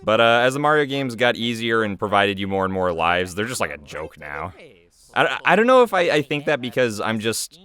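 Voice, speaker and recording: A man's voice: lowish voice, sing-song, fairly quick, fairly bright tone, clear, resonant tone. A man with an American accent giving casual, rambling, self-deprecating commentary on his own video. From United States. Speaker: creator and voice actor commentating.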